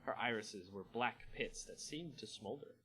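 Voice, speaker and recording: A man hosting a dramatic story reading, expressive in delivery and now and then stumbling and correcting himself. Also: fairly low pitch, a little slow, husky, faint music behind. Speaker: host reading a fantasy story aloud.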